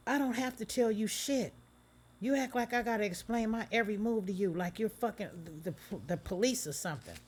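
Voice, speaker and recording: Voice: mid-range voice, moderate pace, voice pushed up, close to an everyday voice. A reader voicing a fed-up young man who curses and argues in a raised, exasperated voice. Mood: angry. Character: fed-up young male rapper boyfriend.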